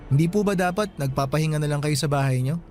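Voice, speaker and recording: A man's voice: fairly high pitch, quick pace, a little mellow, faint music behind, close to an everyday voice. Actor as polite, kind-hearted younger-generation guy. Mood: polite, concerned. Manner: polite, deferential. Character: polite, kind-hearted male night guard, respectful to elders. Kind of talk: Filipino radio drama acting.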